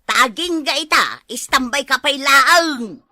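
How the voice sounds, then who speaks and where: A blunt mother-in-law with no patience for idlers, played by a woman. Her voice is somewhat high and piercing, recorded dry. She criticises and nags in a comedy drama style. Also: slow, bright, forceful tone, no echo, full character voice. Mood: critical. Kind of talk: Ilocano comedy drama acting.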